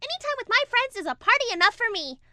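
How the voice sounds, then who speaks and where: A woman voicing a hyper, cheerful young female cartoon pony who rambles in gushing excitement. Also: extremely high pitch, fast, noisy background. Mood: chirpy rambling. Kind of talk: cartoon character letter reading.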